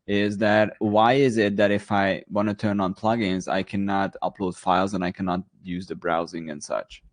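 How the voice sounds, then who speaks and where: A man's voice: deep voice, level tone, normal speed, no room sound. A man co-hosting a live online lesson, stepping in to recap and clarify the steps for viewers. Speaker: co-host. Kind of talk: live webinar discussion.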